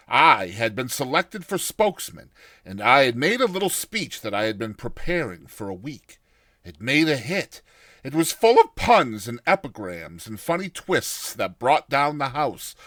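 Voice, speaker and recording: A man narrating a classic short story in a theatrical, expressive first-person style, like a dramatized audiobook. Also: mid-range voice, no echo.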